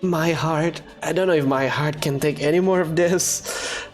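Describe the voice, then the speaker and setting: A woman puts on the voice of a timid young man assisting in a risky lab. He stutters and frets, pitched down into a low register. Faint music sits under the voice. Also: unhurried. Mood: flustered. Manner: jittery, stammering, anxious. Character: nervous young male student assistant. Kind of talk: fan game voice acting.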